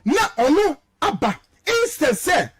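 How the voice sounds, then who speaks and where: High-pitched, emphatic Ghanaian man. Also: sing-song, slow pace, a bit bright. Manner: emphatic, rhetorical, repeats phrases for stress. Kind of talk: heated political commentary, mixing Twi and English.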